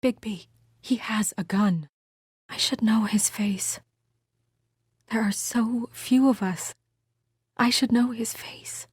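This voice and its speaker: A woman's voice: a little high, slow pace, pauses often, husky, no room sound, exaggerated voice. A woman acting as a composed, earnest young investigator in a noir fantasy video game, serious and restrained with a dry edge. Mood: hushed, shaken. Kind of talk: video game voice acting, naturalistic dramatic dialogue.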